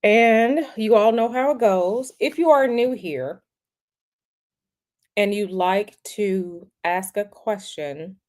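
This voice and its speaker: A woman hosting a tarot livestream, talking casually in a halting, repetitive way with many fillers, sniffly from a cold. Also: mid-range voice, a little mellow.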